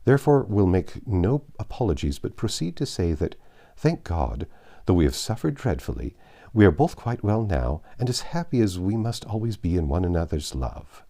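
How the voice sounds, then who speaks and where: A man's voice: deep voice, sing-song, moderate pace, dark tone, exaggerated voice. A man voicing an effusive, ingratiating young woman's letter in an audiobook, in a gushing, deferential manner. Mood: earnest, ingratiating. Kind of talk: audiobook letter read in character.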